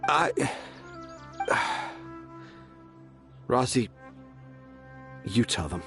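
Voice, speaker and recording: An actor playing a fanciful, stubborn storyteller father in a video game, theatrical and excitable. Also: mid-range voice, drawn-out words, vocal fry, soft background music, heavily acted. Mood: resigned.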